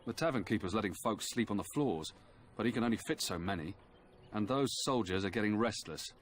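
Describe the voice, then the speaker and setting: A man's voice: fairly low pitch, lively intonation, fairly quick, slight background noise, natural voice. A man playing a disgruntled, plain-spoken villager in a fantasy game, with a folksy, grumbling way of talking. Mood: gloomy, bitter.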